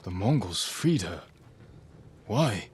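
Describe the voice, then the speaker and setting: An actor playing an earnest young samurai in a video game cutscene, asking probing, insistent questions. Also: male voice, lowish voice, big pitch swings, takes it slowly, darkish tone, breathy edge, full character voice. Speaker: samurai student.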